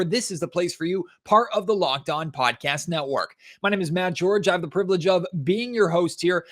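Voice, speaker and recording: A man hosting a sports podcast, presenting commentary and analysis. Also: high voice.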